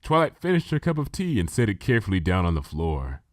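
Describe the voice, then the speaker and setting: A man's voice: deep voice, huge pitch swings, fast talker, dry recording. A man narrates a piece of fan fiction in a scripted storytelling style. The sound is dry, with no room on the voice.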